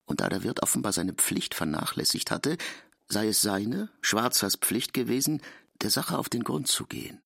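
A man's voice: lowish voice, sing-song, normal speed, vocal fry, a bit bright, slightly rough, dry recording. A man giving scripted literary narration as a narrator, recorded close in a studio.

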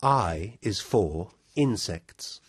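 A man giving a scripted storytelling performance in a deliberate, measured manner. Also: lowish voice, expressive, slightly rough, no echo. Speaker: performer and narrator. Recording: loud music over the voice. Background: prominent music.

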